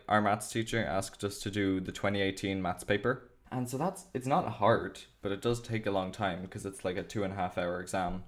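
An Irish teenage boy talks through his school life in a relaxed, rambling way. His voice sits a little low, and he keeps leaning on fillers as he explains. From Ireland. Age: teens. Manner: chatty, explains at length with fillers. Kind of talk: casual video chat.

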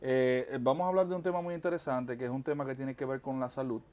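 A man's voice: mid-range voice, fairly even intonation, fast, a little mellow. A man with a Dominican accent, hosting a conversational interview. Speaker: interview show host.

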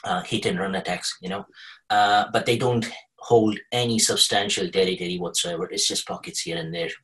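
A man's voice: on the low side, animated, moderate pace, fairly bright tone, low-quality recording. A man from Kuwait speaking as a podcast guest, explaining in a discursive, conversational way. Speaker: podcast guest, researcher with a journalism degree.

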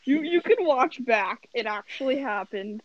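A young woman hosting a casual call with friends, telling stories excitedly, swearing and giggling as she talks. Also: on the high side, measured pace, no echo, raised voice, dull audio. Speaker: host of a friends' chat. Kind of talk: casual chat. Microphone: home computer mic on a call.

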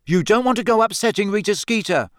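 A man's voice: high voice, lively intonation, normal speed, fairly bright tone, dry recording, clean studio sound, voice pushed up. A man voicing a teasing, easily worried teenage schoolboy in a storybook, informal and needling.

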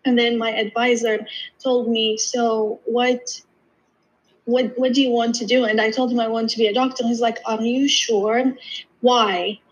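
A woman's voice: a little high, level tone, unhurried, breathy edge, lo-fi sound. A young woman in her twenties, from Iraq and Jordan, a podiatry student chatting as an interview guest, often restarting and quoting herself. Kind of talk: casual interview chat.

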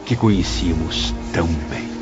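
An actor playing an earnest, reflective male spirit who recounts his memoirs in an expressive, literary radio drama style. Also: low voice, normal speed, close to an everyday voice. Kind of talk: radio drama narration in character. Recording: dull radio drama track with music.

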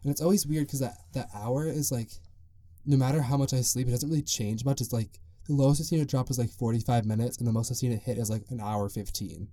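A man's voice: mid-range voice, steady tone, fast talker, dark tone, dry recording. A young man hosting his own podcast, rambling loosely and chatting on his own. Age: young adult. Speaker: podcast host. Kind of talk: casual solo podcast monologue.

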